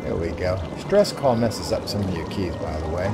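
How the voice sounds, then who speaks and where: A man's voice: low voice, expressive, moderate pace. A man giving casual walkthrough commentary on a video game, explaining his route and thinking aloud as he plays. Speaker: gamer narrating a walkthrough. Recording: loud music over the voice. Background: loud game music and sound.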